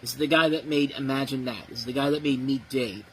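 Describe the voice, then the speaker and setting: A man's voice: mid-range voice, fast talker, a little hiss or hum, rough recording. A man giving a blunt, profane, exasperated movie review, ranting about what went wrong. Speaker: film reviewer.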